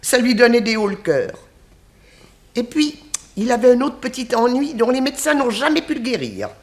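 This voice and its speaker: An actress in a 1960s French radio detective drama playing a kindly, talkative old former nanny, with a homely, fondly reminiscing manner. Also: female voice, fairly high pitch, very animated, normal speed. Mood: chatty, proud. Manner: homely, reminiscing, sighing exclamations.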